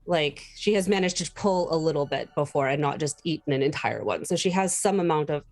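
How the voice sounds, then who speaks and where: A woman playing a tabletop role-playing game, informally thinking aloud as she narrates her character's actions with trailing pauses. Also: deep voice, expressive, a little mellow.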